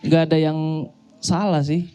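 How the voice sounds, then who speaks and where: Male host, high soft-toned voice, informal slangy chat. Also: little pitch movement, a little slow, smooth, soft background music. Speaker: talk show host. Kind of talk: casual interview chat.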